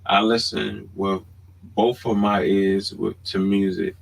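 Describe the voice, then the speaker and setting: A man's voice: low voice, flat delivery, takes it slowly, creaky, slightly rough, poor audio. A man with a Baltimore accent chatting casually as a podcast co-host, often checking in with "you know what I mean". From Baltimore, United States. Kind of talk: casual unscripted chat.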